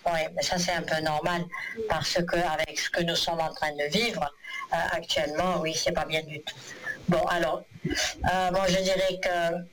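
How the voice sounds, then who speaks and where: A man's voice: on the high side, flat delivery, moderate pace, fairly bright tone, poor audio. A man reading a caller's future on a phone-in show, reassuring and full of hesitant fillers. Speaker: clairvoyant phone-in host.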